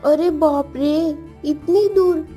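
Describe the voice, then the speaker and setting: A woman plays a young village wife in a storybook cartoon. Her voice is very high and mellow, girlish and eager, with a pleading, tender-hearted manner. Music plays underneath. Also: steady tone, slow pace. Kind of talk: animated bedtime story voice acting.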